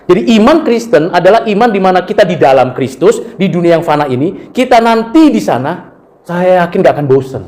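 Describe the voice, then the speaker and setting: Indonesian guy preaching, tells stories, does the voices, kinda high pitched. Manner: animated storytelling, acts out quoted voices. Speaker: preacher and university lecturer. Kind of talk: sermon with personal stories.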